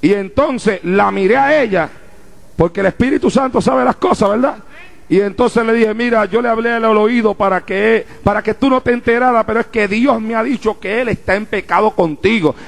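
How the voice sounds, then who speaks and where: A man preaching a fervent sermon to a congregation, rising into shouts and calling out rhetorical questions. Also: high voice, normal speed, gravelly. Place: church before a congregation.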